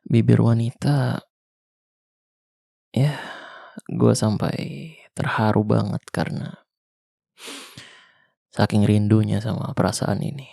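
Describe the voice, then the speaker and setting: A young man with a Jakarta slang accent playing a weary ex-soldier survivor of a zombie apocalypse, speaking softly and intimately in an ASMR roleplay. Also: on the low side, level tone, vocal fry, no room sound, studio quality, heavily acted. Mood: tender. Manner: soft, slow, intimate, half-whispered. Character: weary male ex-soldier survivor, tender protector. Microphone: close mic.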